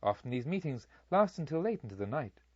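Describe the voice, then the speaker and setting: A man's voice: medium pitch, big pitch swings, brisk, a little mellow, husky, no room sound, muffled recording. Earnest reformed bandit, read by a male narrator, dry sound. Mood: confessional. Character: reformed bandit, earnest, urgent, speaking to a noble lady.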